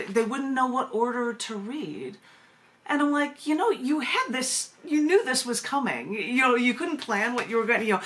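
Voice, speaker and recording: A woman's voice: medium pitch, fairly bright tone, a little hiss or hum. A woman talking as a radio guest over a video call, chatty and given to laughing and restarting sentences. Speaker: poet and magazine editor, guest.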